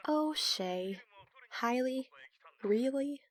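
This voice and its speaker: A woman playing a sardonic, self-assured young team mastermind in an audio roleplay, with a dry, measured delivery. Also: high-pitched, lively intonation, exaggerated voice. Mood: sarcastic.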